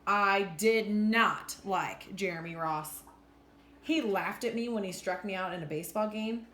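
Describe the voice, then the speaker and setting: A woman reading a children's storybook aloud in an expressive, deliberate storytelling manner. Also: mid-range voice. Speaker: teacher reading a picture book aloud. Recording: roomy echo with faint background noise.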